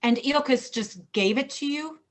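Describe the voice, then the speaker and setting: A woman's voice: sits high, moderate pace, lightly acted. A woman playing an indignant, commanding vigilante who accuses others, in a staged play reading. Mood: outraged.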